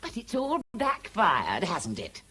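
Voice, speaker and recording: An actress playing a reproachful schoolteacher's wife in a radio drama, with a husky, voice and a cutting, indignant manner. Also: female voice, on the low side, wildly swooping pitch, slow pace, pushed and bright, full character voice. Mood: scornful. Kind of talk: naturalistic radio drama acting.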